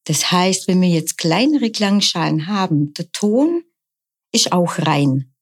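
A middle-aged woman, a nurse, explaining her practice in calm, measured interview answers. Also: fairly low pitch, husky. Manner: calm, measured, often slow and deliberate. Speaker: nurse and sound bowl practitioner.

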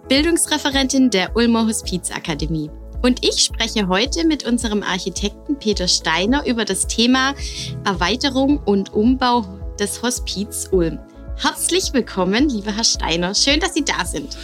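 A woman's voice: a little high, animated, normal speed, soft background music. A woman hosting a podcast interview, asking questions in a friendly, formal way. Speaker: podcast host, education officer.

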